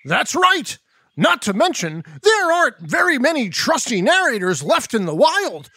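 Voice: high voice, very animated, unhurried, bright, forceful tone, husky, dry recording, heavily acted. A performer voicing a self-important male news reporter in a children's podcast sketch, who announces everything as breaking news in a dry studio recording. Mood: eager. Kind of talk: kids podcast comedy sketch.

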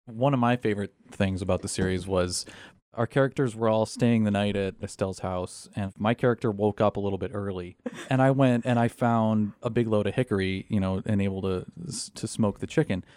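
A man's voice: on the low side, brisk, darkish tone, dry recording. A man telling anecdotes casually as a cast member.

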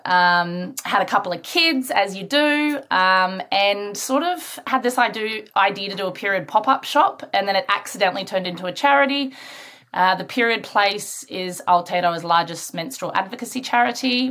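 A woman's voice: on the low side, a bit bright. A woman in her thirties from Australia, chatting upbeat as an election candidate. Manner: chatty and upbeat, many fillers.

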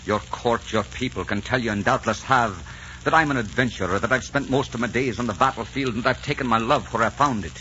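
An actor playing a brooding, world-weary adventurer in love, grave and ardent in 1940s radio style. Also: male voice, medium pitch, brisk, sharp tone, natural voice. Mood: firm, anguished. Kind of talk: 1940s radio romance acting. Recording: dull, noisy 1940s radio recording.

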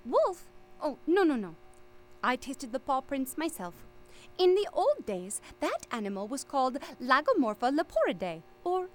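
An actress playing a chirpy, eccentric naturalist and medic in an audio drama, speaking in a precise, formal way. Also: female voice, high voice, very animated, normal speed, a little hiss or hum. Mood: earnest, corrective.

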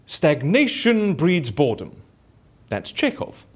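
A man's voice: fairly high pitch, huge pitch swings, slow, breathy edge, full character voice. A man acting in an audio drama as a bored, boastful, theatrical raconteur who talks chattily and self-importantly. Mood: bored, restless. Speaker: lead character in audio fiction.

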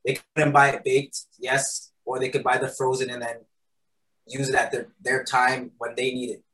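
Male guest, relaxed rambling answers, average pitch. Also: moderate pace, rough recording. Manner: loose and conversational, many fillers and "right?". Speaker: guest, patty shop founder. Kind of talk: casual interview answers. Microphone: recording studio mic.

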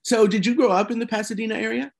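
A man's voice: sits high, steady tone, fast, creaky. A man interviewing a guest, chatting easily and asking curious follow-up questions. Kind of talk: conversational interview.

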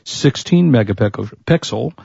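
A man co-hosts a relaxed tech talk show with a husky voice that is starting to give out. His tone is mellow and dark. The recording is dry and a little dull. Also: mid-range voice, normal speed, dry recording. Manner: chatty, offers product rundowns and opinions. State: losing his voice. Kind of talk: casual tech chat.

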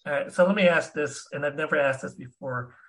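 Male podcast host, bright, fairly high voice, curious, restarts a lot. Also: brisk. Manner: curious, self-deprecating questions with repeated restarts. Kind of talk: casual interview.

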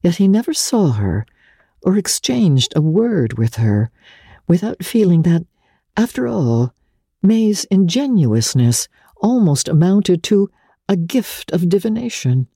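A woman narrating an audiobook of classic literature as a dramatic reading, measured and expressive. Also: on the low side, breathy edge, dry recording, clean studio sound.

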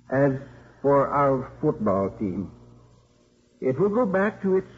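An actor playing a contrite, weary old priest who heads a college, speaking solemnly and formally in a 1950s radio drama. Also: male voice, fairly high pitch. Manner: solemn, measured, formal speech. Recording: muffled, noisy 1950s radio broadcast.

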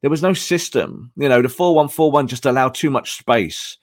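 A hoarse man analyzing football tactics on a podcast, emphatic and repeating his points. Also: mid-range voice, normal speed, no echo, studio quality.

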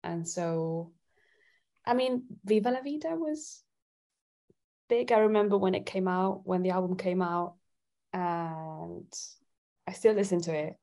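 A woman from Italy chatting casually as an interview guest, thinking out loud with hesitant phrasing. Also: lowish voice, unhurried, creaky, long pauses. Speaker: singer-songwriter guest.

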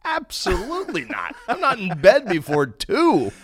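A man's voice: sits high, wildly swooping pitch, unhurried, fairly bright tone. A man who works as a sports radio announcer, chatting casually and earnestly as a podcast guest. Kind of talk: casual interview chat.

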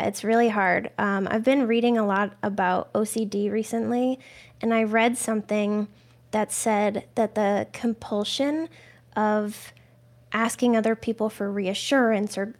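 A woman hosting a podcast, talking casually with her guest and often saying "like". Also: medium pitch. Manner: conversational, frequent "like". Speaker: podcast host. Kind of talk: casual interview chat.

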